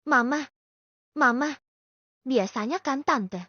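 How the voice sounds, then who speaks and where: A woman's voice: sits high, slow, sharp tone, no echo, full character voice. A woman voicing a helpful, inquisitive aunt in audiobook dialogue. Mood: puzzled. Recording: dull lo-fi dry recording.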